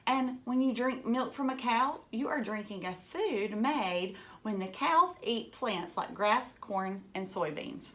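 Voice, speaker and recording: A woman's voice: fairly high pitch, expressive, unhurried, hardly any pauses. A woman teacher reading a children's book aloud, adding simple, encouraging explanations in short phrases and putting questions to young listeners.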